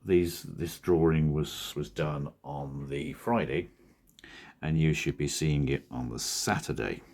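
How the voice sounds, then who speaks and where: A man with a croaky, husky voice from a cold, talking in a rambling, drowsy, self-correcting way as a cartoonist vlogger. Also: extremely low pitch, slow, darkish tone. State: hoarse from a cold. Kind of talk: casual studio vlog talk.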